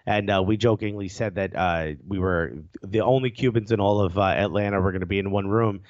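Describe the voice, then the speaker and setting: A man's voice: low voice, fairly even intonation, a little fast, a little mellow, no room sound, muffled recording. A man chatting as a podcast guest, laughing often between jokes. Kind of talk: casual podcast chat.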